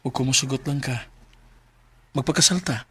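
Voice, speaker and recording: A man playing a direct, earnest young suitor in a radio drama, with a husky voice and a Cebuano accent. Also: medium pitch, normal speed, bright, forceful tone, no room sound. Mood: earnest, wooing.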